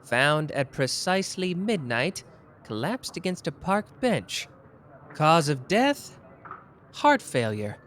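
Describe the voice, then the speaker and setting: A man voicing a sharp, playful young detective in an ASMR roleplay, teasing and quick-witted. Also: a little high, very animated, slight background noise, full character voice. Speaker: voice actor.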